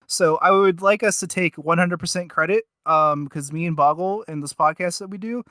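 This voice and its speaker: A man co-hosting a casual review podcast, joking along in a halting, self-interrupting way. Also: sits high, bright, forceful tone, no echo, studio quality. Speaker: podcast co-host reviewing audios.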